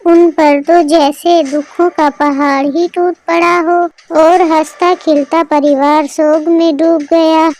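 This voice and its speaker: A woman's voice: very high voice, fairly even intonation, slow, a little mellow, slight background noise. A woman narrating a cartoon story in a scripted storybook style, with music behind her.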